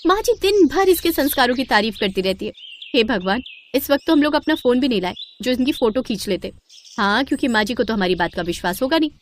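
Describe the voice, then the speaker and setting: A woman's voice: fairly high pitch, animated, fast talker, a little hiss or hum, close to an everyday voice. A woman voicing gossipy young daughters-in-law, sarcastic and conspiratorial, in a moral story. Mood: suspicious. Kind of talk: acted moral-story dialogue.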